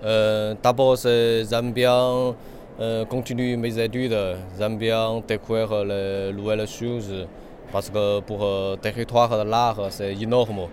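A man's voice: on the low side, flat delivery, darkish tone. Young Chinese-accented male artist, hesitant non-native speech, light outdoor traffic noise. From China. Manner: halting non-native French, frequent pauses and fillers. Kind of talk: interview answers.

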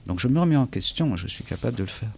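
A man's voice: mid-range voice, expressive, racing, a little mellow, husky. A man from France with a French accent, speaking reflectively as an interview guest, often searching for words and repeating himself. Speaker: interview guest, English teacher and author.

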